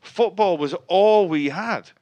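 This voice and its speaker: A man's voice: high-pitched, darkish tone. Dry-witted middle-aged Mancunian man. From Manchester, England. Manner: dry, wry, halting with restarts. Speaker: guest musician. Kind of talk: casual interview chat.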